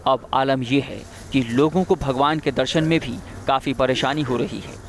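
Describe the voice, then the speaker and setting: A man delivers a scripted television news voice-over. His voice sits at a medium pitch, and he narrates as a reporter presenting a packaged report. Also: moderate pace.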